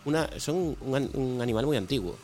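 A man's voice: mid-range voice, expressive, brisk, darkish tone, faint music behind. A man from co-hosting a casual podcast, cutting in with playful, teasing jokes. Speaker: podcast co-host. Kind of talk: casual banter.

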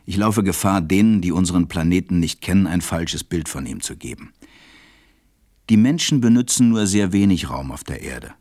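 A man playing a reflective storyteller who confides in children, narrating a radio play in a measured way. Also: sits low, lively intonation, darkish tone, no echo, studio quality. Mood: confiding, reflective.

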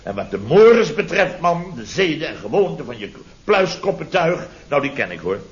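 An actor playing a pompous middle-aged building boss in a radio comedy, blustering indignantly and repeating himself. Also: male voice, a little high, very animated, normal speed. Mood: sarcastic, boastful. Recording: dull, lo-fi old radio recording.

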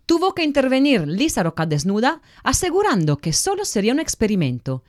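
A woman's voice: on the high side, huge pitch swings, a little fast, no room sound, clean studio sound. A woman reading scripted story narration, addressing the listeners directly like a storyteller.

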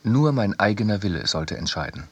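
Deep, husky man reading in a dry studio. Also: sing-song, brisk, no room sound, muffled recording. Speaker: second reader of a literary essay. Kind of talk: scripted narration in a radio production.

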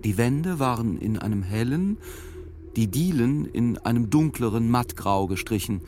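An actor playing a thoughtful first-person narrator in a radio drama, telling his recollections in a measured, precise, sober way. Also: mid-range voice, lively intonation, dark tone, soft background music, natural voice. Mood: sober, recollecting.

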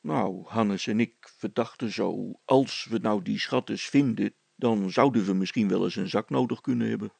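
A man voicing a gruff, simple village father in an audiobook, plain-spoken and hesitant. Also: on the low side, moderate pace, few pauses, darkish tone, no room sound, pitched below the performer's own voice, exaggerated voice. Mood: sheepish.

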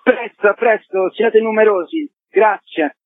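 Man, fairly high voice, wry manner, chatty interview style, narrow phone sound. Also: steady tone, a little slow, soft background music. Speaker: theatre actor and playwright interviewed as guest. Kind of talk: conversational radio interview. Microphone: phone call to a radio show. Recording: phone-like narrow-band line.

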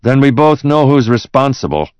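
A man's voice: medium pitch, big pitch swings, normal speed, a little mellow, smooth, no room sound, lo-fi sound, pitched above the performer's own voice, close to an everyday voice. A man voicing a knowing, blunt male henchman in an audiobook. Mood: knowing, insinuating.

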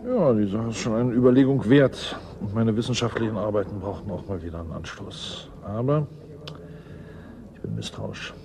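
An actor playing a world-weary, guarded scientist in a 1980s German radio drama, gruff and dryly ironic. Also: male voice, fairly low pitch, lively intonation, moderate pace, background noise. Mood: suspicious.

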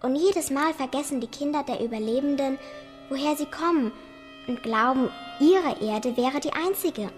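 A woman's voice: high-pitched, moderate pace, soft background music, close to an everyday voice. An actress playing a curious, thoughtful nine-year-old girl in a radio drama, with an eager, questioning manner.

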